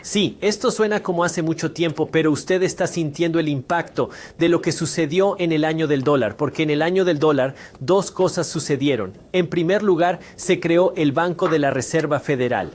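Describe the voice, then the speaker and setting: A man's voice: a little high, normal speed, hardly any pauses. A man giving a scripted finance explainer lesson, speaking conversationally and putting rhetorical questions to the viewer. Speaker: finance educator.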